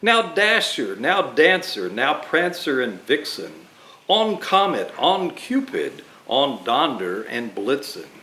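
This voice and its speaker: Saint Nick shouting to reindeer, as a man reads. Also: mid-range voice, big pitch swings, slow, a little hiss or hum, full character voice. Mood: rousing. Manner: calling out, rousing. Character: jolly old Saint Nick calling his reindeer. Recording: roomy echo.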